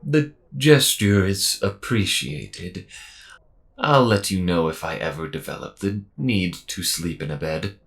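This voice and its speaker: A man playing a friendly, calm robot mechanic with dry humour and a measured, gently wry delivery in an audio roleplay. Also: low-pitched, gravelly, full character voice. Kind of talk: slow intimate audio roleplay acting.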